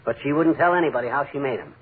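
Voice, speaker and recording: An actor playing a boastful, scheming middle-aged husband who blusters in folksy slang, in a 1940s radio comedy. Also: male voice, medium pitch, animated, racing, phone-quality sound, lightly acted. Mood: blustering. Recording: scratchy 1940s radio broadcast, hiss.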